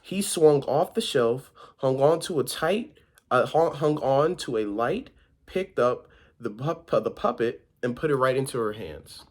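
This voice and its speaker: A man's voice: mid-range voice, expressive, a little slow, darkish tone. A man with a mid-range voice reads a children's picture book aloud. His delivery is informal, like a volunteer storyteller, and he sometimes trips over the text. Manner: informal, stumbles over words while reading.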